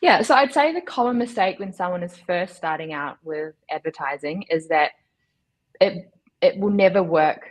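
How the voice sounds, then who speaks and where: A woman, giving measured, instructive explanations as an interview guest. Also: lowish voice, expressive. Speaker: guest, digital agency chief executive.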